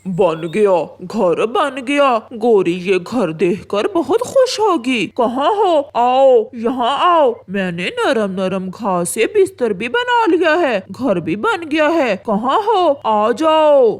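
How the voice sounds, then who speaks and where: A woman voicing a devoted, hardworking crow husband in an animated children's story, with an earnest, plaintive way of calling out. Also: a little high, takes it slowly, few pauses, exaggerated voice. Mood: proud, eager.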